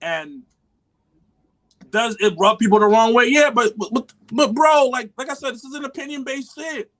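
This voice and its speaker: A man from New York with a New York accent, talking loud and profane as a podcast guest, laughing at himself. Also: very high-pitched, moderate pace, poor audio. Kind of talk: casual chat.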